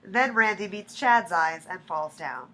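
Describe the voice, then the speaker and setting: A woman's voice: lowish voice, animated, a little slow, pushed and bright. A woman reading out stage directions as scripted narration for a live play reading. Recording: live room with faint noise.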